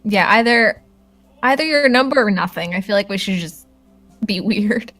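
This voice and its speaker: A woman's voice: mid-range voice, expressive, moderate pace, a bit bright, soft background music. A woman co-playing a visual novel with friends, chatting casually and reading lines in a wry, teasing way. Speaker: co-player in a group playthrough. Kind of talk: casual chat and read-aloud game dialogue.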